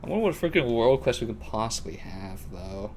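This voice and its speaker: A man's voice: fairly low pitch, big pitch swings, a little fast. A man giving casual, slangy gameplay commentary on a live stream, thinking aloud as he plays. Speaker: live streamer playing a video game.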